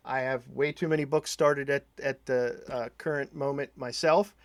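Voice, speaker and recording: A man hosting a casual podcast interview, talking in a halting way, searching for words and repeating phrases. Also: medium pitch, steady tone, dry recording. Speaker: podcast host interviewing a guest.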